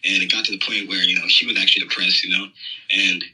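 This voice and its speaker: Young male caller, bright rough voice, fast and full of fillers. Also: deep voice, level tone, poor audio. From United States. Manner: hesitant, many "you know" and "um" fillers. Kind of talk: phone-in conversation.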